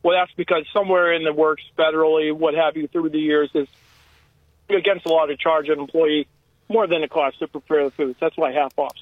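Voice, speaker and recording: A man's voice: fairly high pitch, dry recording. An American man calling in to a radio show by telephone, giving rambling, earnest advice in casual everyday speech. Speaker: phone-in caller. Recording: tinny narrow-band phone line.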